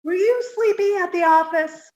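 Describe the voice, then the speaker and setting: Woman playing a high-voiced, scatterbrained young secretary. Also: normal speed, a little mellow, echoey room, dull audio. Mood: naive, earnest. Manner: guileless, earnestly literal. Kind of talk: 1950s radio comedy acting.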